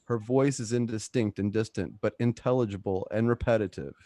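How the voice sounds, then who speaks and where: A man's voice: lowish voice, brisk, dark tone, dry recording. A man reading scripted stage directions as the narrator of a radio play. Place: at home, close dry microphone.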